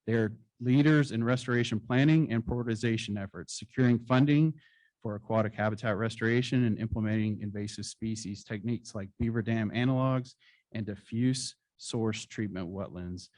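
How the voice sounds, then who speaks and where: A man presenting awards at a conference, reading citations in a formal, measured way with occasional slips. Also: on the low side, a little mellow, no echo, poor audio. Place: conference hall stage.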